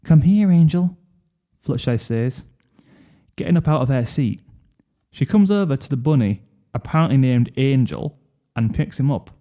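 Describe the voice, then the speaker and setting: A man's voice: medium pitch, animated, moderate pace, mellow. A man narrating a second-person fan fiction story.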